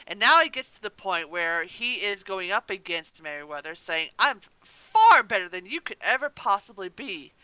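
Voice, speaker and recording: Excitable podcast co-host, bright clear voice. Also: mid-range voice, normal speed, few pauses, no echo, low-quality recording. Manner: playful, excitable, swears. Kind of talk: casual chat, recapping an audio drama.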